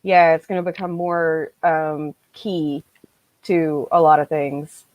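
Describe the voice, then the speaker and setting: A woman in her thirties talks casually over a video call, with a slightly low voice and very little room sound. She is animated and likes to act out reactions as she goes. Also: slow, no echo. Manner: animated, acts out reactions and quotes. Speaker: co-host of a book discussion. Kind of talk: casual chat.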